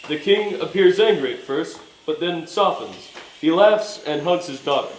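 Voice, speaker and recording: A man's voice: on the high side, animated, normal speed. A man reading stage directions as scripted narration in a staged reading. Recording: echoey room, faint noise.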